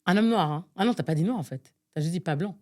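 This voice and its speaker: Lowish-voiced woman from Nigeria, exasperated. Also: fast talker, dark tone. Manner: exasperated, thinks aloud with many fillers. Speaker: podcast co-host. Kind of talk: casual chat, guessing game.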